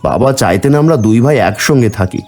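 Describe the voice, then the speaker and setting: A man's voice: medium pitch, animated, a little fast, a little mellow, lightly acted. A man voicing an honest, humble village man in a cartoon fairy tale, speaking earnestly. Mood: earnest, resolute.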